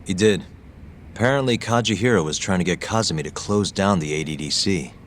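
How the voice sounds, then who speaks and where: A man's voice: low voice, brisk, a little hiss or hum, close to an everyday voice. A man playing a laid-back, wry detective hero in a video game cutscene, talking casually and tersely. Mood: matter-of-fact.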